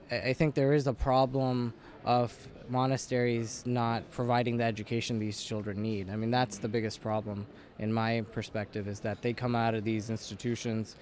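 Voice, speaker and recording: A man from Nepal speaks in a mid-range voice, fluent and relaxed, with frequent "um" and "you know" as he answers. A faint hiss of noise sits under the recording. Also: medium pitch. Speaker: film co-producer. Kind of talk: interview answers.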